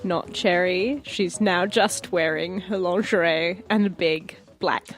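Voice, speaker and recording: A woman's voice: fairly low pitch, slow. A woman casually narrating her character in a tabletop roleplaying game, informal and sweary. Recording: music bed under the voice.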